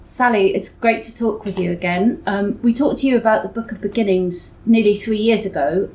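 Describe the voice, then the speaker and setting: A woman's voice: medium pitch, moderate pace, darkish tone, rough recording. A woman hosting a literary podcast, introducing and questioning a guest. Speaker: podcast host. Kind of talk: scripted introduction and interview questions.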